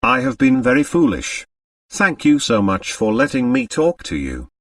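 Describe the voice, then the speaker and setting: A man plays a wealthy young wife in a storybook, distraught and full of dread. She confides dramatically, with a lively swooping pitch, heard a little away from the microphone. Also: moderate pace, no room sound, studio quality, recorded from a distance. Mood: relieved, embarrassed. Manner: agitated, dramatic, confessional.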